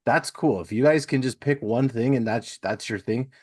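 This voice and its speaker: A man's voice: medium pitch, moderate pace, darkish tone, husky, no echo, clean studio sound. A man hosting a podcast, talking in a chatty, informal interview style. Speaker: podcast host. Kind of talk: casual conversational interview.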